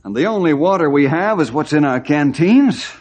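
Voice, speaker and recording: An actor playing a steady, commanding ranger in an old radio western, firm and authoritative. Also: male voice, a little high, normal speed, loud music behind the voice, natural voice. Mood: urgent. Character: steady, commanding male ranger leading desert party. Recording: noisy old radio recording.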